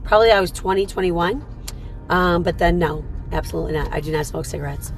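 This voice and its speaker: A woman with a hoarse, rough, tired voice hosting a motivational live stream, talking earnestly and repeating her points. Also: fairly low pitch, fast talker, soft background music. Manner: repetitive, earnest, coaching tone with many rhetorical "right?".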